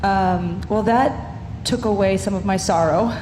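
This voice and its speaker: A woman's voice: mid-range voice, a little slow. Hesitant woman in a reverberant church. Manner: hesitant, heartfelt, with many fillers. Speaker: congregant sharing joys and sorrows. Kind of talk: unscripted spoken reflection. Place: large church sanctuary. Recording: long hall reverb, loud background noise.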